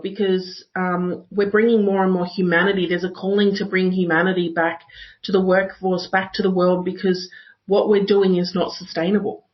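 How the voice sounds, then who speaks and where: A woman speaking earnestly and in a measured way as a guest in a reflective discussion. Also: fairly low pitch, highs cut off. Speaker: panel guest.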